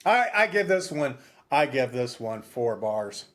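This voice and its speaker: Male co-host, mid-range voice, informal and hesitant, reasoning out loud on a talk podcast. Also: medium pitch, big pitch swings. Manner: halting, thinking aloud. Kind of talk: casual chat.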